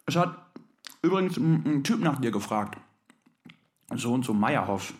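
A woman playing a slouchy, nosy young flatmate in a radio drama, casual and needling. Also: a bass voice, unhurried. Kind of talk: German radio drama acting.

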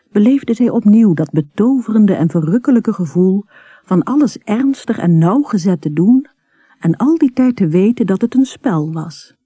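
A narrator reading a literary story in a measured, unhurried manner, with a husky, soft tone. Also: mid-range voice, no echo.

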